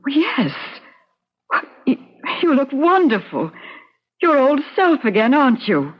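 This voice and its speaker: A woman's voice: high-pitched, sing-song, slow, exaggerated voice. A devoted carer in a radio play, coaxing and anxious. Her voice swoops expressively, and the recording is a little muffled. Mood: anxious relief. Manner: solicitous, coaxing, seeking reassurance. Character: anxious, devoted, fussing woman caring for a sick man. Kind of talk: radio drama acting.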